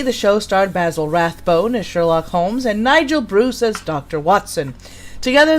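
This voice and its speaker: A woman hosting a live stream, chatting casually and introducing material in an informal, jokey way. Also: mid-range voice. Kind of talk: casual live-stream chat and reading show history.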